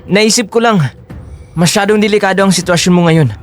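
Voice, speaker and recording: A man's voice: high voice, fairly quick, faint music behind, close to an everyday voice. A man playing a controlling, two-faced boyfriend in a Filipino audio drama, coaxing and slipping between Tagalog and English.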